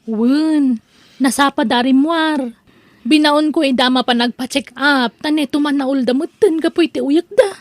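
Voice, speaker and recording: A woman's voice: sits high, a little slow, dry recording. A woman playing a dutiful, worried working daughter of elderly parents in an Ilocano comedy audio drama. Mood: explaining.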